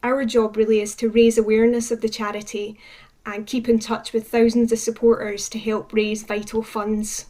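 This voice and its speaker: A woman's voice: on the high side, level tone, moderate pace, dark tone. A woman with a Scottish accent giving explanatory answers as a charity manager in a radio interview.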